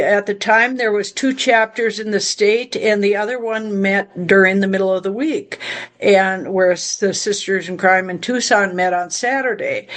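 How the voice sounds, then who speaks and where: A woman giving casual interview answers as a guest author, in a halting, measured manner. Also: medium pitch. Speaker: mystery novelist, interview guest.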